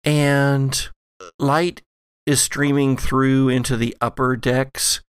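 A man running a horror tabletop game as game master, narrating scenes in a theatrical, deliberate way. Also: mid-range voice, level tone, smooth, no echo, clean studio sound.

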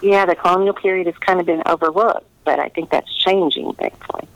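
A middle-aged woman giving earnest, conversational interview answers, pausing often to find her words over a remote line. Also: lowish voice, no echo, poor audio. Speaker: interviewed historical novelist.

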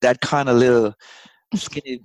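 A man in his forties with a light Jamaican accent, telling a long personal story as a guest. He rambles, stops and restarts, and fills his pauses with ums. His voice sits at an ordinary pitch for a man, heard close and dry. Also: fairly even intonation, no echo, rough recording. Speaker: interview guest, husband. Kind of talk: casual storytelling.

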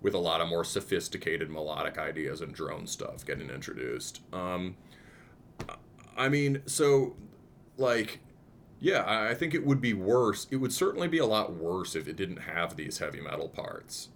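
A man's voice: low-pitched, expressive, normal speed, gravelly, a bit bright, a little hiss or hum. A man in casual conversation, thinking aloud as he picks apart songs, restarting his sentences with lots of "um"s. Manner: thoughtful, restarts often, many ums, hums riffs. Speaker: podcast co-host discussing music.

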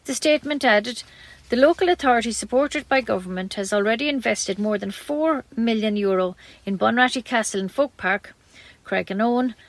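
A woman's voice: medium pitch, dry recording. A woman with an Irish accent reading scripted local news as a community radio newsreader.

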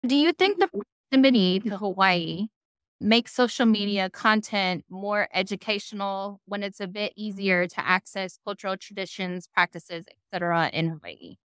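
A woman's voice: medium pitch, moderate pace, a bit bright, clear, resonant tone, dry recording. A woman moderating a panel discussion in a conversational way, posing questions to guests.

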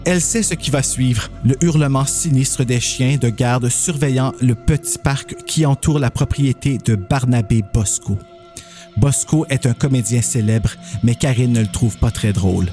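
Male narrator for a horror audio story, mellow tone, average pitch, faint music underneath. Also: moderate pace.

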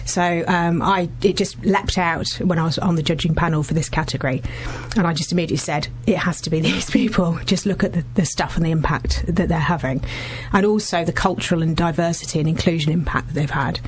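A woman in her forties with a husky voice, a business founder talking warmly and earnestly as a guest in a radio studio interview. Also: deep voice, fairly even intonation, a little fast, few pauses, a little hiss or hum. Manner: warm, effusive, speaks in long earnest runs with restarts.

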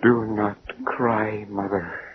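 A muffled, hissy old radio recording of a drowned son's ghost. He pleads in a low, mournful voice that drags slowly and slips toward a whisper. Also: little pitch movement. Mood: mournful pleading. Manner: slow, mournful, drawn-out. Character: ghost of a drowned, murdered son, sorrowful and pleading. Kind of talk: old-time radio horror drama.

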